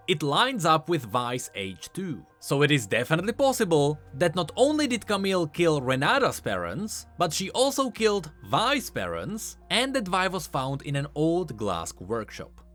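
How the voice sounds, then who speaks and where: Male game-lore commentator, higher-pitched and very lively intonation, scripted explainer delivery over music. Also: moderate pace, hardly any pauses.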